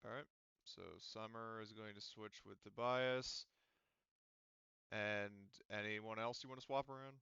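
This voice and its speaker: A man acting as game master of an online tabletop roleplaying game, explaining rules in a halting, deliberate way over a voice chat. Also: lowish voice, fairly even intonation, bright, forceful tone, smooth, no room sound, muffled recording. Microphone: online voice call microphone.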